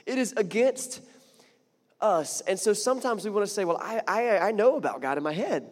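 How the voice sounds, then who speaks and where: A man's voice: sits high, expressive, moderate pace, long reverb tail. A man preaching a sermon in a chatty, conversational way, building to emphatic points and often repeating words. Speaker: pastor.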